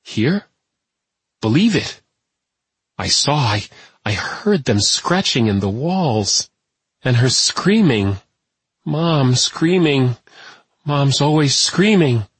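Man voicing a hushed, troubled, paranoid woman, pitched up. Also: big pitch swings, slow pace, breathy edge, no room sound, full character voice. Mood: bewildered, distressed. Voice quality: soft, hushed, whispery. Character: troubled adult woman, bewildered, paranoid, snarling and weary. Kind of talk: audiobook character voice.

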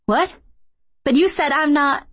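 A woman's voice: fairly high pitch, a little slow. A woman voicing a confused, indignant teenage girl in a storybook, blunt and questioning in casual modern speech. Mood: indignant, demanding.

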